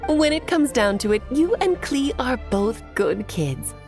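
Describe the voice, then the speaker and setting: Playful, creaky-voiced doting witch mother. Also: high voice, sing-song, a little slow, darkish tone, soft background music, full character voice. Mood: fond, teasing. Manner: teasing, cutesy, giggly. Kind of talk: video game cutscene acting.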